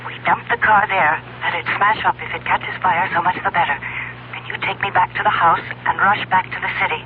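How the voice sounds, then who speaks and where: Actress as icy bossy schemer lady, crisp orders, tinny phone sound w/ some hum. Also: lowish voice, steady tone, a little fast, natural voice. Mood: cold, insistent. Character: cold, commanding, scheming female fiancée plotting murder. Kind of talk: 1970s radio drama acting. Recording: tinny phone line, old radio hum.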